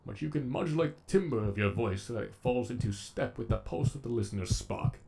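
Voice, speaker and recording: A man's voice: lowish voice, a little fast, creaky, warm tone, slight background noise. A man voicing a sadistic, erudite robot villain with an eloquent, menacingly polite delivery, as in a comic dub. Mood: silky, menacing.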